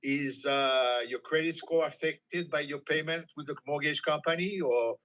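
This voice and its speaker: A man's voice: on the high side, moderate pace, a bit bright. A man speaking as a mortgage banker on a group call, methodically asking questions and advising, repeating phrases as he cuts in. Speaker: mortgage banker giving advice.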